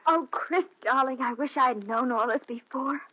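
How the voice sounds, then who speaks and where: A devoted young fiancée in a vintage radio drama. Her voice is fairly high, tender and earnest, heard through a muffled old recording. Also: moderate pace, bright, forceful tone, no room sound. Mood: concerned. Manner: earnest, affectionate. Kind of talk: 1940s radio drama acting.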